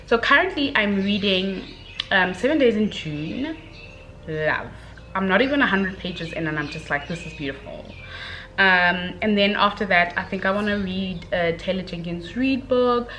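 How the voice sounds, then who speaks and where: A young woman giving a casual, chatty book review, speaking in an expressive, gushing, slangy way with dramatic emphasis. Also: mid-range voice, moderate pace, slight background noise.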